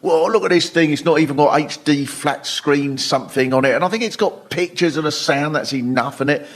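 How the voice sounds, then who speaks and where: A man's voice: a little high, brisk. A middle-aged man on a comedy panel, ranting in an exasperated way before a live audience. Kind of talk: comic ranting. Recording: live show, loud audience behind. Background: studio audience.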